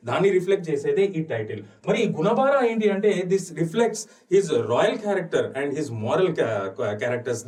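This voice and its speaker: A man with a Telugu accent lectures in a fairly high-pitched voice, a little away from the microphone. He teaches exam material step by step, mixing two languages and repeating key phrases to make them clear. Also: darkish tone, recorded from a distance. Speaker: history teacher at an exam coaching institute. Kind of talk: lecture explaining exam questions, switching between Telugu and English.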